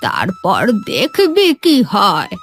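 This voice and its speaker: A woman voicing a mysterious, kindly old village granny in a fairy-tale cartoon, husky and consoling. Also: medium pitch, wildly swooping pitch, takes it slowly, fairly bright tone, soft background music, exaggerated voice. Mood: solemn, knowing. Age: older.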